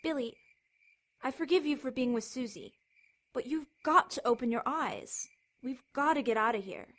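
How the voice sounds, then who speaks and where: Audio drama role: woman as bossy teen sister, high bright voice, snappy, sarcastic, intense. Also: moderate pace. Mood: concerned, pleading. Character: bossy, sharp-tongued teenage girl, protective big sister.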